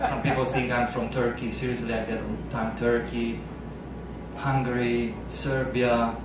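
A man's voice: medium pitch, little pitch movement, moderate pace. Italian guy in his thirties chatting up a cruise crowd, handheld mic, audience murmur behind. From Naples, Italy. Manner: joking, chatty, quizzes the crowd. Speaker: pianist entertainer. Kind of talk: playful stage banter with audience. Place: cruise ship lounge stage, large room. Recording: echoey lounge from far off, audience noise.

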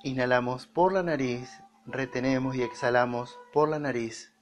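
A man leading a guided meditation, speaking deliberately in short phrases with pauses between them. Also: medium pitch. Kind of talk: slow guided meditation narration. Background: music behind the voice.

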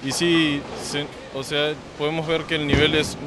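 Young male athlete, Peruvian accent, halting delivery, a bit high, clear background noise. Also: flat delivery. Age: young adult. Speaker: bowling athlete. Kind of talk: on-camera interview. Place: large indoor bowling alley. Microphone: handheld interview microphone. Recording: noisy bowling alley background.

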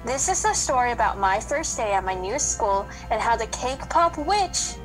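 A woman's voice: fairly high pitch, very animated, moderate pace, music in the background, rough recording. A woman playing a nervous, chatty little cookie girl in a children's radio play.

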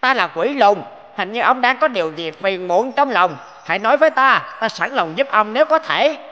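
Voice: medium pitch, expressive, moderate pace, sharp tone, highs cut off. A performer playing a gleeful, scheming green dwarf demon in a cartoon, with a sly, theatrical delivery. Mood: sly, friendly. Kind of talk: cartoon voice acting. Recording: long reverb, music behind.

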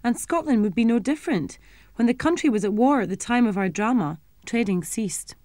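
A young adult woman presenting and narrating a schools history programme, explaining things clearly and simply for children. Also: fairly high pitch, expressive, brisk, darkish tone, no echo.

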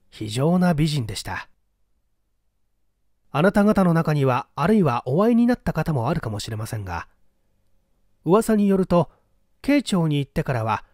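A man reading a mystery story aloud as literary narration, in a measured, polite storytelling manner. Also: a little high, dark tone, no echo, studio quality. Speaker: audiobook reader.

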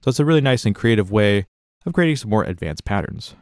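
A man narrating a casual step-by-step software tutorial in an informal, explanatory way. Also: on the low side, very animated, quick pace, loud music behind the voice.

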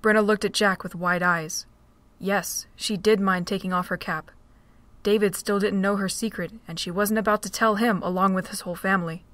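A woman narrating a fan audio drama with a steady storytelling read. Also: medium pitch, moderate pace, no echo. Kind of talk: scripted narration.

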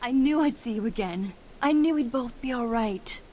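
A woman's voice: on the high side, lively intonation, normal speed, slight background noise, lightly acted. A woman playing an earnest, eager young Jedi apprentice in a video game cutscene. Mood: relieved, eager. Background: loud game score.

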